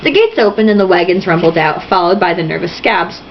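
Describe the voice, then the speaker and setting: A woman's voice: lowish voice, lively intonation, a little fast. A woman reading a novel aloud in a casual, theatrical way, dropping in sarcastic, mocking asides. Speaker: host reading a novel aloud with jokey commentary. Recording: dull lo-fi sound, faint noise.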